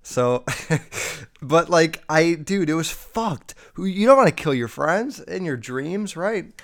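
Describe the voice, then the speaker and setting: A man's voice: fairly high pitch, lively intonation, slow. A young man hosting a casual solo podcast, rambling and swearing a lot, sounding slightly nasal and a bit under the weather. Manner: rambling, heavy swearing, many fillers. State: feeling sick. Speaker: podcast host. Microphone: podcast microphone.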